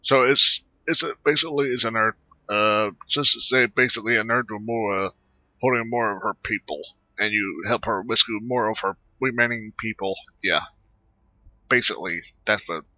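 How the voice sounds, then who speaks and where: A man giving casual, rambling gameplay commentary with halting delivery. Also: fairly low pitch, pushed and bright, dry recording, dull audio. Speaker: gaming video creator.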